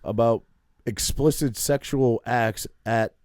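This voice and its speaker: A man's voice: medium pitch, fairly even intonation, slow, darkish tone, dry recording, studio quality. A man co-hosting a podcast, chatting casually, often agreeing and swearing now and then. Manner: agreeable, interjects often, profane. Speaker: podcast co-host. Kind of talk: casual chat.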